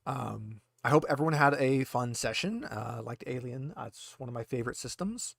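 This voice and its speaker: A man narrating a tabletop roleplaying game as game master in a halting, conversational way. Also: medium pitch, animated, vocal fry, a bit bright, no echo, clean studio sound.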